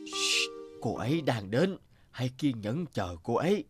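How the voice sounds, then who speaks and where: A man voicing a kindly, wise old guardian angel in a cartoon, explaining things gently and solemnly. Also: medium pitch, big pitch swings, music in the background. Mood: sorrowful, solemn. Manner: slow, sententious, gently explaining. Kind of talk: Vietnamese cartoon dubbing.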